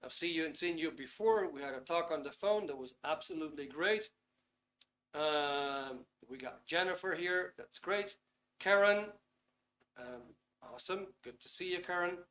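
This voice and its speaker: A Dutch man hosts a live online workshop over a computer mic. His voice sits a little high for a man. He talks in a loose, friendly way and fills his pauses with ums. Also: a bit bright. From Netherlands. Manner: chatty, reads out chat comments, frequent ums. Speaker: webinar host. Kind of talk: casual live webinar talk.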